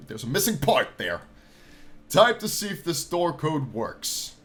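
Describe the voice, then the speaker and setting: A man in his twenties hosting a game playthrough, reading story text aloud with theatrical, drawn-out delivery and casual asides. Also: a little high, wildly swooping pitch, a bit bright. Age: 20s. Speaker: gaming channel host.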